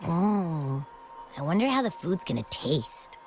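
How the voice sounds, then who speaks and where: A woman's voice: lowish voice, very animated, measured pace, darkish tone. A young woman voicing a cheerful, food-loving companion in a video game cutscene, bubbly and a little boastful. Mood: playful. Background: faint game music.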